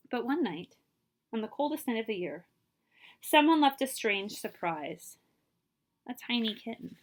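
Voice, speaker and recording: Female children's storyteller, fairly high and very lively, expressive librarian read-aloud with dramatic pauses. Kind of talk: animated children's picture book read-aloud.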